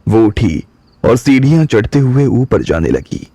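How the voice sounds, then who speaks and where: A man narrating a drama audiobook in steady storytelling. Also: medium pitch, measured pace, breathy edge, no room sound.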